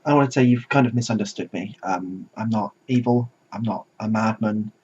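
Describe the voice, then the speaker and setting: A man's voice: lowish voice, normal speed, a little mellow, close to an everyday voice. A man voicing a defensive, misunderstood internet menace in a comic mock documentary, pleading and self-justifying. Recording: dull muffled sound with faint noise.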